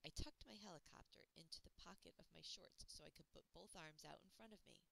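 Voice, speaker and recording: A woman reading a children's adventure story aloud with expressive, suspenseful, dramatic narration. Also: sits low, fairly quick, creaky, a little hiss or hum, rough recording. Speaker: children's library audiobook reader.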